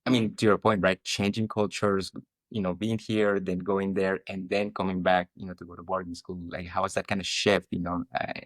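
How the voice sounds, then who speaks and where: A man's voice: deep voice, level tone, moderate pace, dry recording. A man hosting a podcast in a conversational interview style, sounding enthusiastic and welcoming. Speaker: podcast host.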